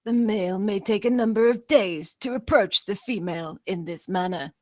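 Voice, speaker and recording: A woman playing a nature documentary narrator, measured and factual, in a script reading. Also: medium pitch, mellow, dry recording, clean studio sound, close to an everyday voice. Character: nature documentary narrator describing spider mating.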